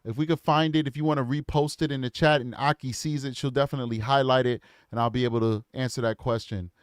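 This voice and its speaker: A man hosting a true crime livestream, reading super chats aloud and thanking viewers in an upbeat, repetitive way with lots of ums. His voice is hoarse and worn out. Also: mid-range voice, fairly even intonation, quick pace, no room sound, clean studio sound. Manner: upbeat, repeats himself, frequent ums.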